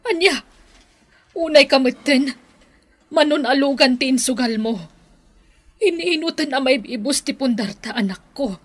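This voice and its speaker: A woman with an Ilocano Filipino accent playing a long-suffering, ailing mother in a radio drama, her voice husky. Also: high-pitched, measured pace, pauses often. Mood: worried, scolding.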